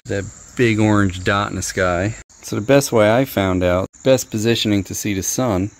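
A middle-aged man casually reviewing gear outdoors in a driveway, thinking aloud and cracking dry jokes, recorded on a body-worn action camera. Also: on the low side. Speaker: product reviewer. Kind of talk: casual hands-on product review.

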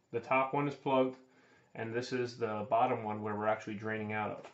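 HVAC tech explaining gear on lo-fi camera audio. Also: male voice, mid-range voice. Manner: plain, explanatory, pointing things out. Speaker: HVAC technician and channel host. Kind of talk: hands-on instructional walkthrough. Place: indoor utility closet, later outdoors by a heat pump. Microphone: camera-mounted mic, speaker filming himself point-of-view.

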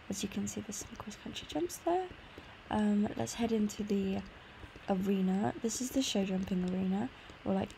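Female gaming host, casual walkthrough narration, sore throat and tired, creaky voice over audible background noise. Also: mid-range voice, warm tone, low-quality recording. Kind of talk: casual gameplay tour commentary.

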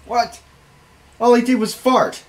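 A man's voice: very high voice, slow pace, over loud music. A young man acting in an amateur comedy skit with exclamatory, exasperated delivery, recorded on a camera in a bedroom. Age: young adult. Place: small home bedroom, indoors.

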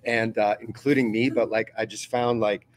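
A man's voice: fairly low pitch, level tone, normal speed, a little hiss or hum. A middle-aged man, a magazine editor, telling an anecdote on a panel in a meeting room, full of fillers and acted-out quotes, heard from a camera across the room. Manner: storytelling, acts out quoted voices, many fillers.

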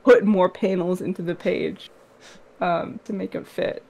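A woman guest on a video call, slightly hoarse, explaining her work in a loose, rambling way with soft laughs. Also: on the low side, fairly even intonation, a little slow, rough recording. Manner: rambling, many fillers, laughs softly. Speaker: guest comic artist. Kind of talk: casual interview answers on a video call.

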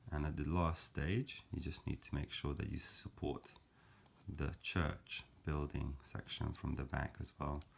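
A man calmly explaining a paper craft step by step, in a gentle, measured way with frequent fillers. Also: extremely low pitch, gravelly, a little hiss or hum. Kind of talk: calm step-by-step craft tutorial.

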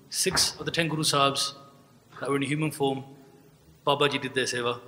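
A man's voice: on the high side, pushed and bright. A young man giving a religious talk at a podium mic, telling a story earnestly and acting out the dialogue. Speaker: speaker at a gurdwara event. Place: large hall, indoors. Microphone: podium mic on a stand. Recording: long hall reverb.

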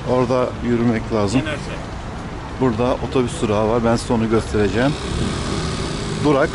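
A man's voice: medium pitch, steady tone, moderate pace. A man speaking his native Turkish in a playful, joking way, filming himself outdoors at night with a camera held at arm's length. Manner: playful, jokey, mixing in a few broken foreign words. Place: outdoors on a city street at night. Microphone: selfie camera at arm's length. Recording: loud traffic noise behind.

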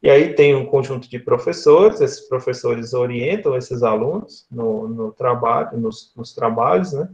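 A man's voice: medium pitch, measured pace, hardly any pauses, darkish tone. A man in his thirties with a Northeastern Brazilian accent, explaining conversationally on a video call and often checking for agreement with short tag questions. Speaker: guest speaker, lecturer. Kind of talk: conversational online talk. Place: small indoor room, video call. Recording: dull, lo-fi video call audio.

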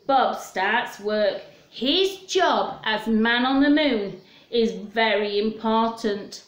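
A woman's voice: on the high side, expressive, slow pace. A woman, presenting storytime and reading a picture book aloud to children in simple, questioning, child-directed talk. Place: small room at home, indoors. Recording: roomy, echoey home recording.

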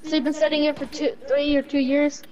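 A woman's voice: high voice, level tone, a little fast, darkish tone, lo-fi sound. A woman improvising role-play in a game voice chat, speaking a little hesitantly. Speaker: player role-playing a secret agent.

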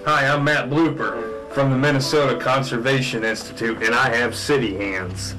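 A man's voice: medium pitch, steady tone, normal speed, lightly acted, rough recording. A man playing a methodical, sceptical fish scientist in a radio drama spoof. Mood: polite. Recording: music behind the voice.

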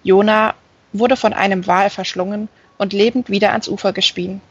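A woman's voice: mid-range voice, steady tone, moderate pace, breathy edge, no echo. Woman reading as earnest fallen angel man, muffled. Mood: earnest, explaining. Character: gentle, learned male fallen angel telling scripture.

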